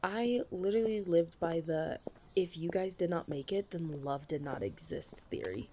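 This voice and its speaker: A woman's voice: fairly low pitch, animated, moderate pace, background noise, lightly acted. A young woman playing a chatty, dramatic best friend in a casual ASMR audio roleplay. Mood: wistful, candid. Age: young adult. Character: chatty, caffeine-loving female best friend, self-deprecating about dating.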